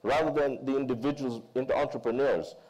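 A middle-aged man speaking as a panelist on a conference stage, making a measured argument built on cited figures. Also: medium pitch, fairly even intonation, darkish tone, low-quality recording. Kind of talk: panel discussion.

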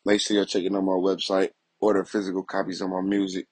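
A young man talks through the news in a casual, streetwise vlog style, tagging "you feel me" onto nearly everything he says. His voice sits a little low and comes across husky. The recording is dry and close, with no room sound around it. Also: completely flat delivery, quick pace, no room sound. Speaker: news commentary host.

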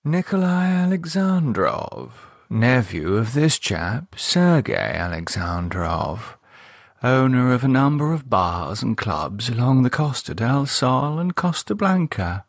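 A man's voice: mid-range voice, sing-song, slow pace, creaky, husky, no room sound, clean studio sound, heavily acted. A man voicing a languid, refined upper-class woman in an audiobook. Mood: concerned. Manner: drawling, world-weary. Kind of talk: audiobook character voice.

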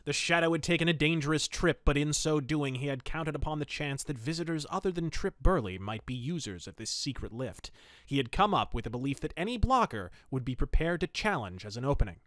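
A man's voice: on the high side, animated, fairly quick, fairly bright tone, no echo, studio quality. A man hosting a podcast, reading pulp fiction aloud and reviewing it. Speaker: podcast host.

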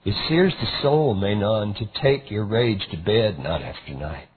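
A man voicing a gentle, forgiving old father who speaks tenderly and earnestly. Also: lowish voice, sing-song, unhurried, breathy edge, heavily acted. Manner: tender, pleading. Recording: tinny phone-like recording.

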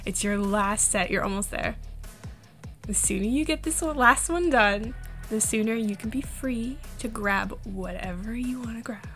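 A woman's voice: a little high, moderate pace, a bit bright. A woman playing an affectionate, teasing girlfriend cheering on her man in a playful, encouraging ASMR roleplay. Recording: music behind the voice.

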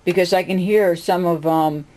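A woman casually narrating a home demonstration of audio gear, haltingly searching for her words. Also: fairly low pitch, steady tone, poor audio. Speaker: vlogger and audio gear hobbyist. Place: indoors, room with tape decks. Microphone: handheld camera, speaker off screen. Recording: home camera audio with loud music.

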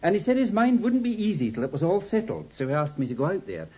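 An actor playing an anxious, earnest young Englishman in a 1950s radio drama, speaking in a nervous, halting, pleading way. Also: high-pitched, sing-song, slightly rough, lo-fi sound, exaggerated voice. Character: anxious, earnest young man protesting his innocence.

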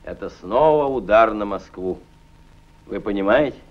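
An actor playing a stern Soviet colonel general giving orders and weighing the enemy's plans, in measured 1940s film acting. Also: male voice, fairly low pitch, animated. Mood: grave. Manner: commanding, measured. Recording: dull old film soundtrack.

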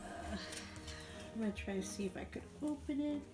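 Slightly high gal cooing over plushies, voice going, loud music behind. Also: female voice, normal speed. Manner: gushing, coos over toys, whispers at times. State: losing her voice. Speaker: unboxing vlogger. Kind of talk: casual unboxing chat. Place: living room with shelves of plush toys.

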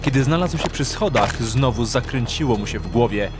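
A man's voice: medium pitch, expressive, moderate pace. A man narrating a dramatic audio drama from a script. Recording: clear music behind the narration.

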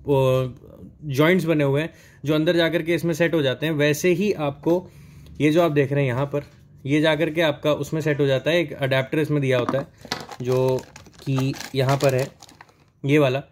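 A man's voice: a little high, fairly even intonation, normal speed. A young man doing a casual, explanatory unboxing narration, mixing English words into his speech. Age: young adult. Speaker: product reviewer at a riding gear store. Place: indoors in a helmet and riding gear store. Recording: noticeable background noise, store ambience.

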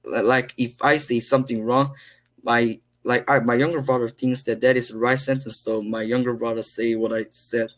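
A teenage boy who speaks English with a Korean accent, talking haltingly with many fillers while practicing on a video call from his room. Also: mid-range voice, level tone. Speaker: English learner. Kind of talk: casual conversation practice. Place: indoors, small room at home.

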